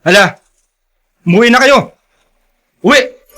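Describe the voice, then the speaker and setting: A man's voice: very high voice, animated, unhurried, sharp tone, heavily acted. An actor playing a stern, bossy male village officer in a radio drama. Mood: stern, commanding.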